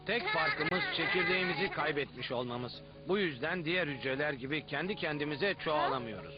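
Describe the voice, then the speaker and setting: A man's voice: a little high, animated, brisk, natural voice. A man playing a knowledgeable, matter-of-fact red blood cell explaining things in a dubbed educational cartoon. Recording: dull audio under loud music.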